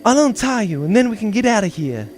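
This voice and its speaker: A man's voice: high voice, very animated, fast, very noisy. A man voicing a besotted, stubborn woman, the villain's runaway wife, in a comic audio drama. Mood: caring.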